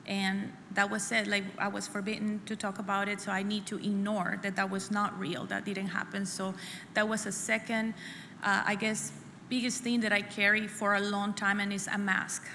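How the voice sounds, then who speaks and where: Middle-aged woman, bright and sharp tone, earnest testimony speaker in a reverberant church hall. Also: mid-range voice, level tone. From El Salvador. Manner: earnest and halting, repeats phrases. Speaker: conference speaker sharing her testimony. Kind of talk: personal testimony at a church women's conference. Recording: echoey hall with faint room noise.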